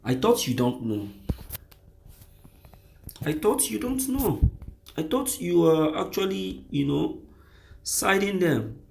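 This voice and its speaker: A Nigerian man presents news with his own impassioned commentary. His voice sits a little high for a man. He speaks very slowly and emphatically, repeating phrases to drive them home. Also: very animated, gravelly, a little mellow, a little hiss or hum.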